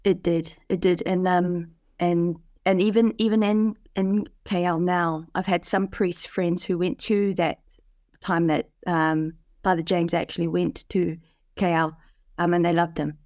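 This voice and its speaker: A podcast guest from New Zealand, a woman with a fairly low voice, tells her story with lively energy and frequent restarts. The sound is dry and close, as on a video call. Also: a little slow, no echo. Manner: animated storytelling with many fillers and restarts. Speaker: podcast guest, parish leader. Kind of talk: casual conversation over video call.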